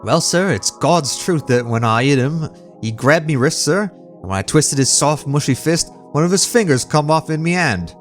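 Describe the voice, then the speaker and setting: A man's voice: on the high side, expressive, moderate pace, faint music behind, pitched above the performer's own voice, natural voice. A man voicing a nervous young bellboy with a Cockney accent, deferential and full of "sir". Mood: uneasy. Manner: deferential, keeps saying sir, rough grammar.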